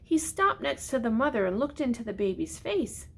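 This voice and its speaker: An older woman reading a children's storybook aloud in a gentle, expressive way, often speaking directly to her young listeners with questions. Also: on the high side, normal speed, vocal fry, slightly rough.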